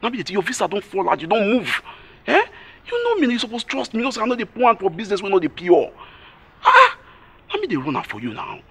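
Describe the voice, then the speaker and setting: A man with a Nigerian accent playing a smooth-talking travel scam agent in a comedy skit. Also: high-pitched, wildly swooping pitch, fast talker, a bit bright, slight background noise, full character voice. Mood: coaxing. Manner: persuasive, coaxing.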